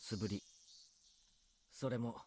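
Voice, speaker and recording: A man's voice: on the low side, steady tone, unhurried, background noise, lightly acted. An actor voicing a tense, irritable teenage boy in a game, talking curtly and defensively. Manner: curt and defensive. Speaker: game character.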